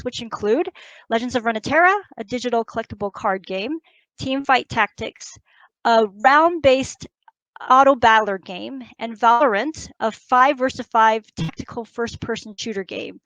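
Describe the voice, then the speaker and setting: Woman, higher voice, upbeat keynote presenter, dry close mic. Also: no room sound, low-quality recording. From United States and Taiwan. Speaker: company HR executive presenting a keynote. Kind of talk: prepared conference presentation.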